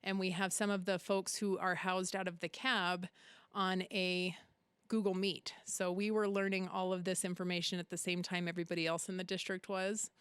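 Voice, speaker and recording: A woman's voice: lowish voice, level tone, normal speed, fairly bright tone, clean tone, no echo. A woman speaking as a school district technology officer, giving a prepared update to the community.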